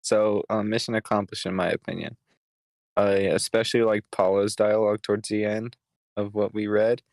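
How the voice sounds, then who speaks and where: Understated man with lowish voice. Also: flat delivery, normal speed, darkish tone, no echo. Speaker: participant giving script feedback. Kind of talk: casual feedback. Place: online meeting.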